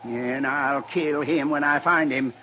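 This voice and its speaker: An actor playing a cantankerous old American buffalo hunter in a radio western, talking in gruff, folksy frontier dialect. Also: male voice, medium pitch, fairly even intonation, unhurried, close to an everyday voice. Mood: bitter, threatening. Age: older. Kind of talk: 1950s radio western acting. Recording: muffled vintage radio recording, faint noise.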